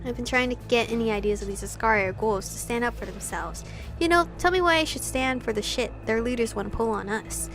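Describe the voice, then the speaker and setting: An angry young vampire rebel from a visual novel, read by a woman in a high voice. The delivery is accusing and insistent, full of passion, with music playing behind it. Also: quick pace, fairly bright tone. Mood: impassioned.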